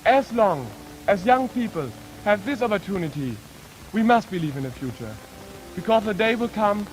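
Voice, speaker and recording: A young man from Germany with a German accent, an exchange student giving an earnest, deliberate formal speech. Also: high voice, very animated, soft background music, rough recording. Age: young adult. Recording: 1950s archive with faint noise.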